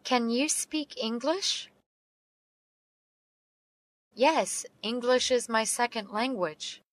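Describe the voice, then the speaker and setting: Slow, carefully spoken woman. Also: on the high side, dry recording. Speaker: English teacher reading dialogue. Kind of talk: slow read-aloud English practice phrases.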